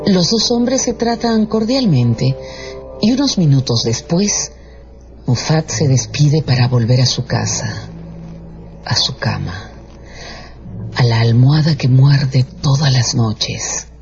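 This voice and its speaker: A man narrating a literary radio drama in a measured, theatrical storytelling style. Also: mid-range voice, animated. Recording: muffled radio production with loud music.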